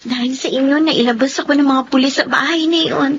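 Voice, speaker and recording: A woman acting in a Filipino radio drama as a mistreated, polite young woman with a halting, emotional delivery. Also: high voice, steady tone. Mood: grateful, polite. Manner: tearful, slow, halting. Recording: dull, noisy radio drama recording.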